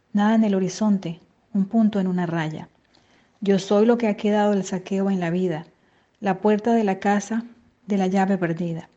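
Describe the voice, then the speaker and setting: A woman's voice: medium pitch, warm tone. A woman reciting a poem aloud with declamatory, rhythmic delivery. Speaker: poetry reader. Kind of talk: dramatic poetry recital. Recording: dull lo-fi sound.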